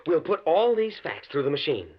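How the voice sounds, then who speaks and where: An American actor playing an earnest, stuffy and pedantic university scientist in a mid-century radio drama. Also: male voice, on the high side, big pitch swings, a little fast. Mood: confident, matter-of-fact. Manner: precise, pedantic, stammers when flustered. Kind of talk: 1940s-50s radio drama acting. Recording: muffled vintage radio drama recording.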